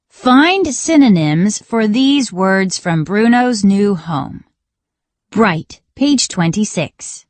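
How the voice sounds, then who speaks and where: Slow, lively female lesson narrator. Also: mid-range voice, dry recording. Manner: deliberate, instructional. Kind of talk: scripted educational read-along narration for children.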